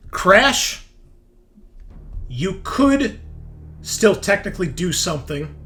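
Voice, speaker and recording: A man's voice: medium pitch, unhurried, a bit bright. A man running a tabletop roleplaying game, narrating off the cuff in a casual, vividly descriptive way. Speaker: game master.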